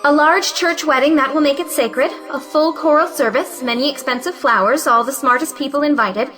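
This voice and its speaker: A woman's voice: high voice, normal speed, a bit bright, faint music behind. A woman playing a cynical, mocking young bride-to-be in an audio play, delivered theatrically. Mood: bitter sarcasm.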